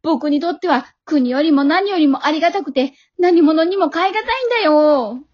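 A woman's voice: high voice, expressive, moderate pace. A woman playing an earnest, exasperated young boy hero with a scolding, pleading manner, in an amateur comedy radio drama. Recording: dull lo-fi audio.

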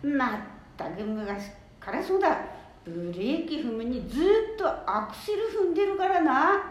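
A woman's voice: high voice, big pitch swings, normal speed, slight background noise. A woman playing an outspoken, complaining elderly grandmother with a Nambu dialect from northeastern Japan in an amateur radio drama. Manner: complaining, blunt. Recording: echoey room, dull lo-fi sound.

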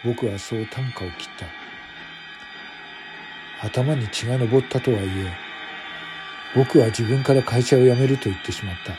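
A man's voice: medium pitch, normal speed, long pauses, over music. A man giving scripted first-person narration for a radio drama in a brooding, solemn manner.

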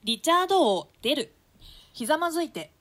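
A woman's voice: sits high, very animated, fairly quick, a bit bright. A woman reading out stage directions formally for a play, with long reverberation on her voice.

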